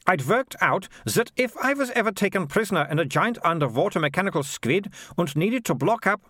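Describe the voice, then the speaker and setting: A man's voice: high voice, expressive, brisk, fairly bright tone, no echo, clean studio sound, pitched above the performer's own voice. A man voicing Malicia, a bossy, dramatic know-it-all girl who speaks in a theatrical, self-assured way.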